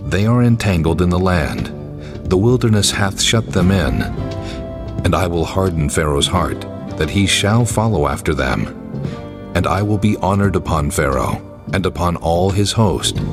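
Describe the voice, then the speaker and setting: A commanding divine figure in a scripture drama. The voice is extremely low, solemn and measured, declaiming every phrase with majesty over prominent music. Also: male voice. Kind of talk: dramatized scripture audiobook acting.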